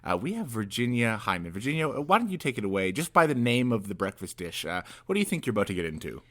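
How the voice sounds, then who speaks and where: Lively Canadian man hosting, low voice. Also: fast talker. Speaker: podcast host. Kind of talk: casual chat.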